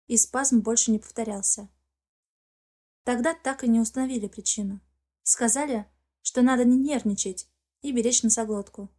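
Woman narrating a novel, kinda high voice, very mellow tone, expressive audiobook read. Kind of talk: scripted first-person fiction narration.

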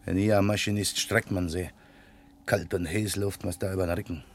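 An actor playing a pious, timid, earnest bridegroom with a Silesian accent in a German radio drama. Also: male voice, low voice, fairly even intonation, fairly quick. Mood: earnest, uneasy. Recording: noisy old radio production.

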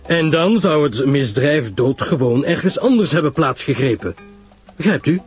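A man voicing a shrewd, courteous, self-assured detective in an audiobook story, husky, deliberate and emphatic in explaining. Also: a little high, expressive, faint music behind, natural voice.

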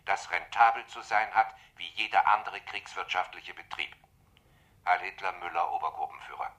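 A man's voice: fairly low pitch, bright, forceful tone. An actor playing a cutting male SS major from an economic office in a German radio drama, sardonic, pedantic and pressing.

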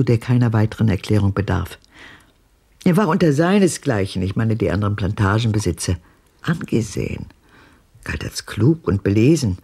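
Haunted old woman for radio drama: very husky, gravelly, bitter, deliberate. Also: darkish tone, exaggerated voice. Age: older. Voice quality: very husky, breathy, low for a woman. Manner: deliberate, pointed, dramatic, dropping into whispers.

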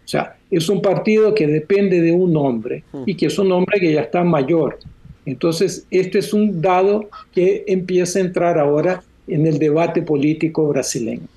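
High, mellow-voiced economist commentator. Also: male voice. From Brazil. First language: Portuguese. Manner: measured, explanatory, with frequent "¿no?" tags. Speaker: columnist, economist. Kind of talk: radio phone-in commentary.

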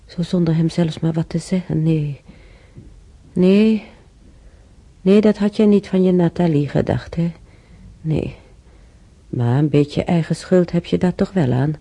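An actress playing an older woman in a radio play, musing haltingly and sorrowfully over the past in a husky, gravelly voice. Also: deep voice, warm tone, heavily acted. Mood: wistful, sorrowful, then indignant, grieving. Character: older woman, sorrowful, brooding, recalling war years. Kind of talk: radio play monologue, reminiscing.

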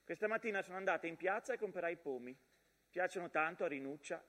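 Brief: loving young mother writing to her soldier husband, gentle stage monologue, raised pitch, reverberant hall. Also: fast. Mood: tender, wistful. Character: tender young wife and mother writing wartime letters. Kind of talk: theatrical letter monologue. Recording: long reverberant theatre hall.

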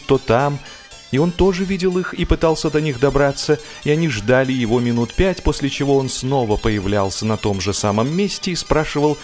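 A man reading a humorous story as an audiobook narrator, in an expressive, measured storytelling manner. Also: medium pitch. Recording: music behind the voice. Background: instrumental music.

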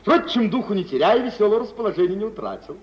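An actor playing a breezy, swaggering spendthrift gentleman, jovially cynical and playfully familiar, in an old Russian radio drama. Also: high-pitched, very animated, fast. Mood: breezy, rueful. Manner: jaunty, theatrical, playfully familiar. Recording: muffled old radio recording, distant, hissy.

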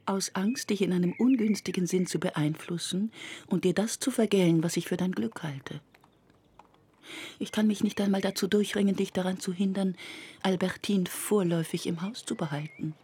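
An actress playing an anxious, disapproving middle-aged mother in a literary radio drama, speaking formally in a hushed, breathy voice. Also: lowish voice. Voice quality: husky, breathy. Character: disapproving, anxious, restrained mother of a grown son.

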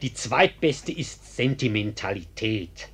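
An actor playing a sly ex-convict arsonist in a German radio play, unhurried and ironically courteous, with a husky voice. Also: male voice, lowish voice, lively intonation, fairly bright tone, full character voice. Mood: sly, amused. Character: sly, courteous male arsonist and ex-prisoner, coolly mocking.

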